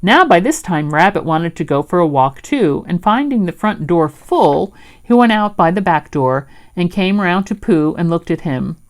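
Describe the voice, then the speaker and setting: A woman reading a children's storybook aloud as an expressive storyteller. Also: fairly low pitch, moderate pace.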